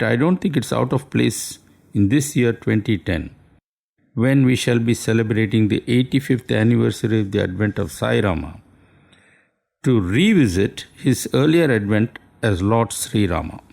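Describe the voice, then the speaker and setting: Gravelly man. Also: lowish voice, a little mellow. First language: Tamil. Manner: formal, measured, courteous. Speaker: radio speaker. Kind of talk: scripted radio talk.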